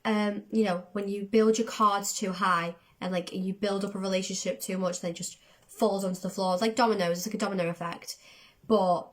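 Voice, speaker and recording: A woman chatting casually as the host of a reaction video, excitable, her voice going a little from a cold. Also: medium pitch, a little fast. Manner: excitable, self-described overdramatic. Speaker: host of a music reaction channel.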